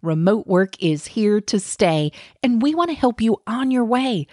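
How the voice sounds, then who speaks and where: A woman reading a scripted podcast announcement as host, in measured, careful phrases. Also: fairly high pitch, expressive, dark tone, dry recording, studio quality. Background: faint music.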